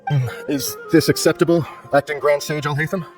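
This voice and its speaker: A formal, stern but fair research supervisor, played by a man in a video game. He talks at a fast clip with faint music underneath. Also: on the high side, steady tone, natural voice. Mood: stern, businesslike.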